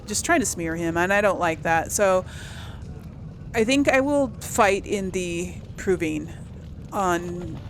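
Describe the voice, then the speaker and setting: A woman's voice: fairly low pitch, lively intonation, takes it slowly, a little hiss or hum. A woman hosting a casual gaming let's play, thinking aloud in a hesitant, conversational way. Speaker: gaming video host.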